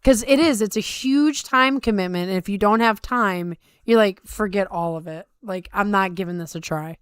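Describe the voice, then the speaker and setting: Middle aged lady talking sports casually, clean crisp voice, kinda emphatic, no room sound. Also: mid-range voice, normal speed, no room sound. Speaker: sumo talk show co-host. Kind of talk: casual chat with opinionated commentary.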